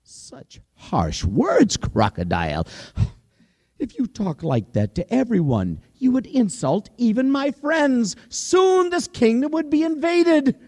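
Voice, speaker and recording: A male storyteller voicing a menacing, hungry lion king who speaks slowly and darkly in drawn-out, sinister phrases. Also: extremely high pitch, very animated, a little mellow, pitched above the performer's own voice, exaggerated voice.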